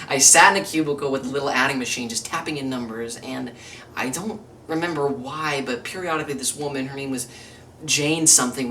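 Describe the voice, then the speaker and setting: A man performs an exasperated struggling actor with a bright, forceful voice. He rambles emphatically through a stage monologue, wry and excitable, breaking off and restarting his thoughts. The mic sits at a distance with faint noise in the room. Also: fairly high pitch. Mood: indignant, agitated. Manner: rambling, emphatic, self-interrupting. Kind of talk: theatrical monologue, self-taped audition.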